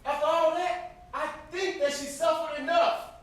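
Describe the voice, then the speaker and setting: A man's voice: very high voice, little pitch movement, moderate pace, fairly bright tone. A man acting an exasperated, indignant young brother defending his family in an amateur stage play. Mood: pained, pleading. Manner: indignant, pleading. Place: indoor theatre stage. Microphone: distant camera microphone. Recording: distant, very echoey stage recording.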